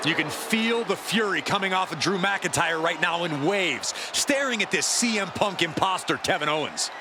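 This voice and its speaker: A man performing as a dramatic, excitable wrestling commentator in a video game. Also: high voice, moderate pace. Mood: excited. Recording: very noisy arena.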